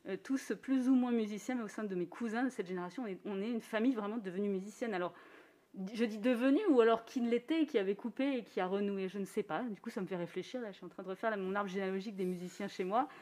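A woman's voice: medium pitch, fast. Thoughtful French-speaking woman musician. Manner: thoughtful, earnest, laughs softly. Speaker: musician guest. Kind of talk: reflective conversation.

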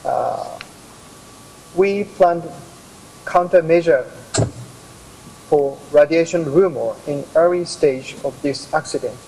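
A middle-aged Japanese man with a Japanese accent, a hospital doctor presenting slides, halting with many pauses. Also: fairly high pitch, gravelly, dark tone, breathy edge, low-quality recording. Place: office with bookshelves. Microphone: video call.

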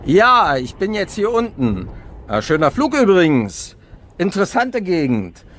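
Halting, thoughtful male investigator. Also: sits high, huge pitch swings, fairly bright tone, a little hiss or hum, full character voice. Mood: businesslike. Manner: halting, with repeated words. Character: male German investigator, thoughtful, hesitant, dry. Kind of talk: radio drama dialogue and narration.

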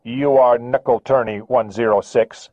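A man's voice: medium pitch, steady tone, a little slow, darkish tone, no echo. A male narrator voicing a smug American radar controller who speaks in a falsely polite, needling way over a radio. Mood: mock polite. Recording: tinny radio transmission.

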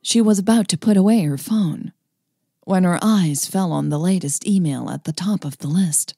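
Woman reading her story aloud, low husky voice, dry close sound, faint music. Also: normal speed, mellow, no room sound. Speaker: podcast host and storyteller. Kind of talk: scripted story narration.

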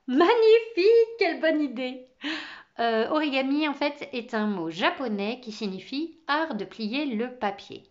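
A woman's voice: fairly high pitch, animated, unhurried, few pauses, lo-fi sound. A woman reading a children's storybook, voicing a cheerful, exuberant, eccentric female teacher with sing-song, enthusiastic exclamations.

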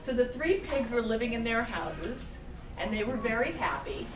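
A middle-aged woman telling a children's story with puppets, slowly and gently, asking the child along the way. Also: fairly high pitch, animated. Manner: slow, gentle, asks the child questions. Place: indoor room with puppet stage. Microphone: far camera. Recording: echoey room, far camera, noisy.

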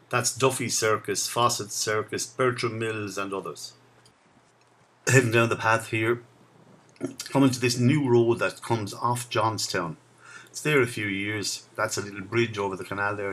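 A man's voice: lowish voice, a bit bright. A man, walking and chatting about the local sights as he films outdoors. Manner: chatty, reminiscing as he walks. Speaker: video blogger. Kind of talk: casual walking commentary. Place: outdoor riverside path in a town.

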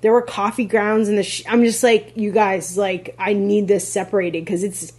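A woman's voice: medium pitch, level tone, moderate pace, a little mellow, slightly rough. A woman co-hosting a podcast, talking casually and chattily with lots of fillers and small laughs. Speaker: podcast co-host, rental host.

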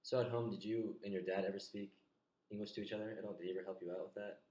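A young man interviewing a friend in a small bedroom, asking curious, quick follow-up questions. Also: on the low side, little pitch movement, dark tone. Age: young adult. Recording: echoey room.

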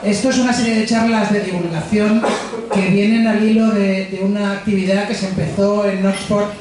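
A man introducing a speaker at a pub science talk, in a relaxed, informal way. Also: sits high, normal speed. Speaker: event organizer introducing the speaker. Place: pub, indoors. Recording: echoey pub room, from far off.

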